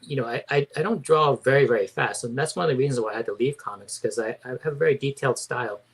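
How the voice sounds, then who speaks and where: Guest artist, man in his 50s, mid-pitched, hesitant, halting answers. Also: breathy edge, low-quality recording. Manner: hesitant, many fillers and restarts. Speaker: comic book artist guest. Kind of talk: casual interview.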